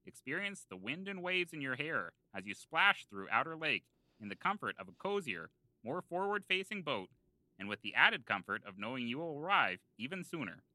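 A man with a very bright, forceful voice works as a podcast co-host. His delivery swings up and down with hammy, salesman-like emphasis, and the recording is close and dry. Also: fairly high pitch, no room sound, poor audio. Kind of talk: comedy ad read and casual chat.

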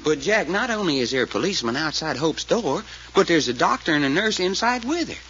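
An actor playing a folksy, excitable Texan detective sidekick in a 1940s radio serial. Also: male voice, fairly high pitch, brisk, over music. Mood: earnest recounting. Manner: folksy, ungrammatical drawl. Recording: dull old radio serial recording.

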